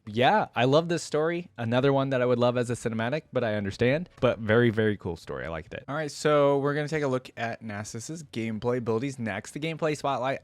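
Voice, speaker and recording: A man's voice: mid-range voice, no echo. A man giving casual, slangy, enthusiastic reaction commentary as a video host. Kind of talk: casual reaction commentary and story read-aloud.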